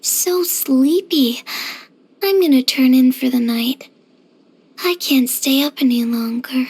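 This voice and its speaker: A woman playing a cheerful, easygoing young craftswoman in a video game, with a gentle, chirpy, earnest manner. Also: sits high, slow pace, husky, full character voice. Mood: sleepy. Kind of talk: video game character voice acting.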